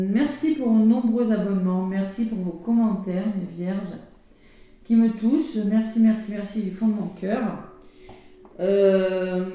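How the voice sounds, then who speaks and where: A hoarse woman giving a casual weekly astrology card reading, chatty and full of fillers and exclamations. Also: mid-range voice, warm tone. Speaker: tarot and oracle card reader. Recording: very echoey room with faint music.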